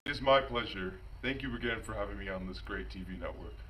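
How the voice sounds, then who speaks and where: A man's voice: lowish voice, fairly quick, lightly acted. A man playing a courteous famous baseball slugger in an amateur mock newsreel interview. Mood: courteous, matter-of-fact. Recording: distant mic with faint noise.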